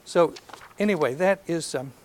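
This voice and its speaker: Soft-voiced older man from Alabama. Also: fairly high pitch, normal speed. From North Alabama. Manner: hesitant, many ums, self-deprecating humor. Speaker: lecturer. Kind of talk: last lecture, reminiscence. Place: lecture hall with audience. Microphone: handheld or podium microphone.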